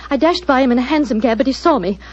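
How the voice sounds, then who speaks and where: A woman's voice: high-pitched, fast. An actress playing a frightened, well-spoken young British lady in peril in an old-time radio drama, tremulous and dramatic. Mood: anxious. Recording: dull, muffled old radio recording.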